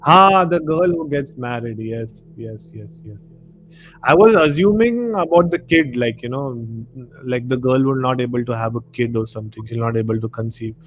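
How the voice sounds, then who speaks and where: A man's voice: medium pitch, moderate pace, soft background music, rough recording. A man with an Indian accent co-hosting a casual film discussion on a live stream, conversational and repeating himself for emphasis.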